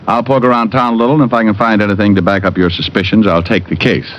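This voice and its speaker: An American actor playing a wry, hard-boiled private detective in vintage radio drama style. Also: male voice, lowish voice, fast talker, slight background noise, close to an everyday voice. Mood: easygoing, skeptical. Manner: wry, deadpan wisecracks. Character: wisecracking hard-boiled male private detective, dry and cocky. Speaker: lead actor and narrator. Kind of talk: 1940s-50s radio detective acting, first-person narration. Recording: muffled vintage radio recording with music.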